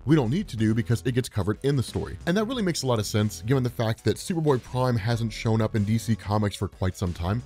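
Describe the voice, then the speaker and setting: Fast-talking man with a groggy morning voice. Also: lowish voice, darkish tone. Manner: rambling, many fillers and "right?". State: deep just-woke-up voice. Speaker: YouTube comics explainer host. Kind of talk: casual commentary.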